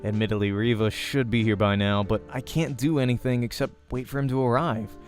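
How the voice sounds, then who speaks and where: A man hosts a visual novel playthrough, reading the narration aloud like a storyteller. His voice sits at a medium pitch, and music plays in the background. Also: fairly even intonation, fairly quick. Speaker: let's play host and reader.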